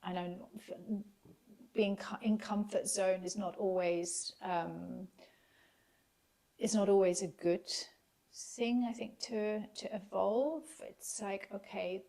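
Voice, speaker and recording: A woman guest on a podcast, speaking English as her first language, talking thoughtfully with many pauses and fillers. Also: medium pitch, steady tone, creaky, mellow. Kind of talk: reflective casual conversation.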